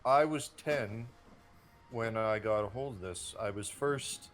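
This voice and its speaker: A man's voice: on the low side, slow pace, soft background music. A thirty-year-old man co-hosting a gaming show, reminiscing and explaining at length in casual gameplay commentary.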